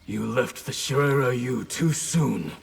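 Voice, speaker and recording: Mentor role in a fighting game: gravelly, grave, wise, stern clan master. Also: male voice, mid-range voice, soft background music, exaggerated voice. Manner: grave, measured, instructive. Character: stern, wise male martial arts master. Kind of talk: video game acting.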